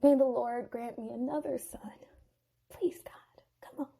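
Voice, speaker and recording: A woman voicing Rachel, an eager young woman longing for children, with excited and pleading lines. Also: a little high, a little slow, vocal fry, breathy edge, exaggerated voice. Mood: pleading whisper.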